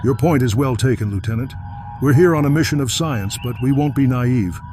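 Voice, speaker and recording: A man playing a decisive, measured starship captain giving orders in a science fiction drama. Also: mid-range voice, darkish tone, faint music behind, close to an everyday voice. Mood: measured, resolute.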